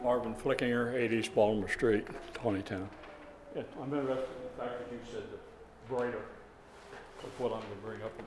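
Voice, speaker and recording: An older man speaks at the public mic of a town council meeting in a folksy, rambling way, with a few chuckling asides. His voice sits at an ordinary pitch for a man. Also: steady tone, a little fast, a little mellow, slight background noise, poor audio. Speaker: resident giving public comment.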